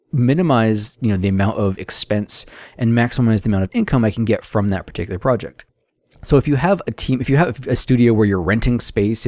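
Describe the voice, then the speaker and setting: A man's voice: lowish voice, darkish tone, no echo, studio quality. A man giving a casual, unscripted monologue of advice as a game developer, conversational and thinking aloud. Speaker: solo indie game developer hosting an audio log.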